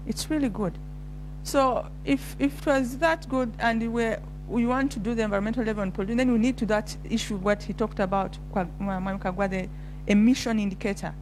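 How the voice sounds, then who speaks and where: Woman speaking haltingly on a panel. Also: a little high, darkish tone, a little hiss or hum, rough recording. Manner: halting, many restarts and fillers. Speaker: panelist from a research institution. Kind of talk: spontaneous panel remarks.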